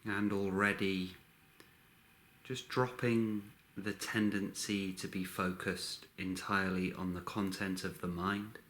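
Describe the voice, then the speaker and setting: A man leading a guided meditation in a measured, instructional way, speaking in short phrases with long pauses. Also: low voice, level tone, fairly bright tone.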